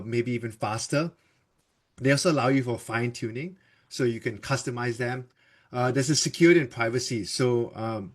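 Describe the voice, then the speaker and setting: A man from Singapore talks through a tech webinar in a relaxed, chatty way. He often restarts a sentence and fills pauses with "uh" and "like" while he explains his slides. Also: mid-range voice. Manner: loose and conversational, with frequent fillers and restarts. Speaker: developer advocate presenter. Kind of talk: casual tech presentation.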